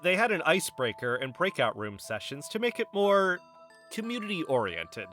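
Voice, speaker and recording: A man's voice: on the high side, very animated, normal speed, fairly bright tone, faint music behind. A man playing a guarded, argumentative investigator in a scripted podcast drama. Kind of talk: scripted audio drama dialogue.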